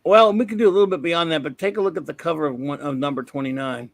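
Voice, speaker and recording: A man's voice: on the high side, fast talker, vocal fry. A man hosting a podcast, chatting casually about comics in a blunt, opinionated way with many restarts. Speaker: podcast host.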